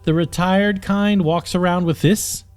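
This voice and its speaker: A man voicing a hot-tempered bald bandit captain in an audiobook, sneering and exasperated with drawn-out emphasis. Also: high voice, fairly even intonation, clear, resonant tone, faint music behind, pitched above the performer's own voice. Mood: mocking, bossy.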